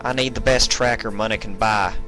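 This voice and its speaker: Man putting on a firm young cowgirl pony, pitched up. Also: fairly even intonation, a little fast, music in the background, natural voice. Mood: matter-of-fact. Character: determined twenty-year-old cowgirl pony, polite but firm. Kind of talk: storybook character voice.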